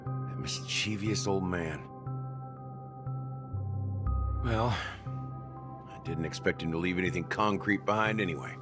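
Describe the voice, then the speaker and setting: A man's voice: sits low, normal speed, music in the background, natural voice. An actor playing a sardonic, world-weary middle-aged man in a game, with a wry, laconic delivery. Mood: wry, resigned.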